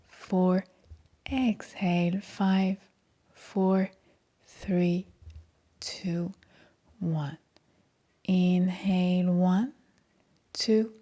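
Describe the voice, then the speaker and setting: A woman guides a meditation at an extremely unhurried pace. Her voice is soft and breathy, with a mellow, relaxed tone and a slightly low pitch. She gives each instruction calmly and gently. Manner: calm, gentle, soothing. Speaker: meditation guide, yoga teacher.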